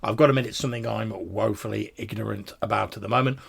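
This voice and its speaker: A man hosting a podcast, casually responding to listener messages in a husky, gravelly voice. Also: fairly low pitch, steady tone, fast talker. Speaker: podcast host.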